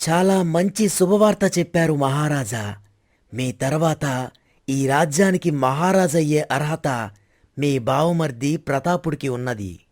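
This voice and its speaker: An obedient royal minister played by a man in a moral-story drama. He speaks in a fairly high voice, formally and with careful respect toward his ruler. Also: expressive, measured pace, a little mellow. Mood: respectful, questioning.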